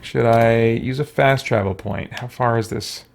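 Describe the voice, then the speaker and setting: A man's voice: mid-range voice, a little slow, gravelly, noisy background. A man hosting a casual let's play, thinking aloud and exclaiming as he goes. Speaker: gaming channel host.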